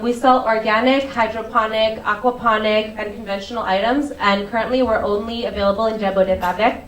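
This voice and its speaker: A young woman from Singapore giving a relaxed, friendly stage talk to an audience as a business development head, with plenty of ums and uhs. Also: medium pitch. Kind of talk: presentation talk to a live audience. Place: event stage before an audience. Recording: roomy venue sound, distant mic, faint ambience.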